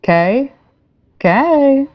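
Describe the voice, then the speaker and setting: A woman playing a breezy, trigger-happy spaceship captain in a sci-fi comedy play, delivered with dry deadpan. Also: high-pitched, extremely slow, dull audio, exaggerated voice. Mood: wry.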